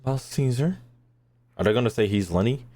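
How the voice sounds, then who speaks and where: A man's voice: medium pitch, brisk, dark tone, a little hiss or hum. A man giving casual, joking gameplay commentary as a video host, crude and slangy.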